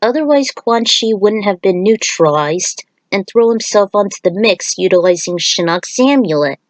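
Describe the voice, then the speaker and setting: A woman's voice: mid-range voice, animated, moderate pace, dry recording. A woman reading scripted story narration as a storyteller, recorded close as a voice-over.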